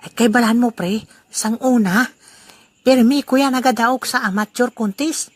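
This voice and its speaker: A woman's voice: a little high, moderate pace, dry recording, close to an everyday voice. A woman playing a boastful, teasing old man in an amateur audio drama, laughing often. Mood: bragging. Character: boastful old man Lolo Albino, jolly rival.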